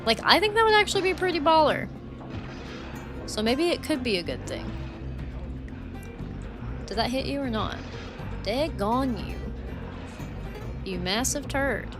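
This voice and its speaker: A woman's voice: high-pitched, very animated, a little slow, pauses often. A woman streaming a game in casual, rambling chat full of "like" and "um", her voice getting dry and worn from talking so long. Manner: chatty, rambling, frequent "like" and "um". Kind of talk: casual livestream chat while playing. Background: game music.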